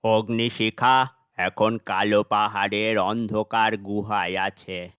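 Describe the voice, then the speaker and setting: A man playing a wise, grave old sage in an animated fairytale, solemn and measured. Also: fairly low pitch, smooth, dry recording, clean studio sound. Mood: grave warning.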